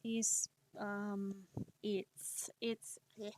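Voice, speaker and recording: Slow, gentle woman streamer. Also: medium pitch, flat delivery. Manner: slow, soft-spoken, encouraging, laughs often. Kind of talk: casual chat while drawing on stream.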